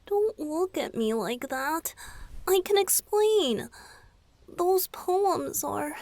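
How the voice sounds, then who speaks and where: A woman voicing a shy, flustered boyish lover in an intimate ASMR romantic roleplay, speaking softly and breathily with hesitant, halting phrasing. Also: extremely high pitch, lively intonation, no echo, heavily acted. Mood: flustered, panicked. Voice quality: soft, breathy, often whispered.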